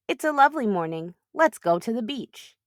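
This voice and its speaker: Coaxing storybook mother done by a woman, cheerful, high, sing-song, very animated. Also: moderate pace, no echo, clean studio sound.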